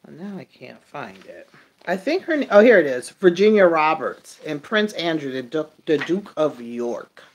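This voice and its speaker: A woman doing a casual, improvised tarot reading for viewers, thinking aloud in a halting way and trailing off mid-phrase. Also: low voice, very animated, muffled recording. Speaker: tarot reader.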